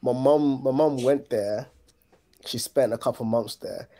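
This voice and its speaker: Mellow-voiced London man, chatting casually. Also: medium pitch, a little slow. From Ghana and London. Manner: colloquial, London slang. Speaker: podcast co-host. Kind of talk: casual chat.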